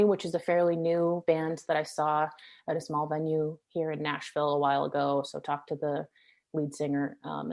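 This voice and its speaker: A woman's voice: sits low, a little mellow. A young woman, a singer-songwriter, chatting casually in an interview, rambling with many fillers.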